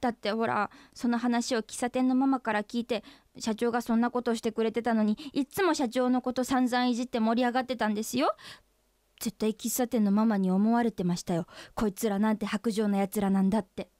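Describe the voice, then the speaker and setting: A woman's voice: fairly high pitch, fairly even intonation, fairly quick, darkish tone, no room sound, clean studio sound, close to an everyday voice. An actress playing an earnest, forthright female office employee pressing her boss in a comic radio drama. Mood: earnest, embarrassed.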